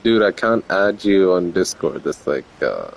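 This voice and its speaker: A man's voice: low-pitched, very animated, unhurried, creaky, slight background noise, poor audio. A young man streaming a game, chatting casually with slangy, joking commentary.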